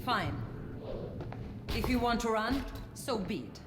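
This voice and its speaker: A woman's voice: a little high, lively intonation, takes it slowly, reverberant. An actress playing a determined, secretive female security chief in a video game cutscene, commanding and matter-of-fact. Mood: exasperated. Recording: music playing behind the voice.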